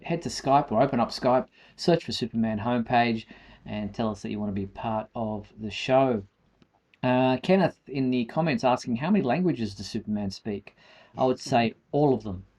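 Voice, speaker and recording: A man's voice: mid-range voice, a little mellow. A man hosting a live online show in a conversational presenting style. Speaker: show host.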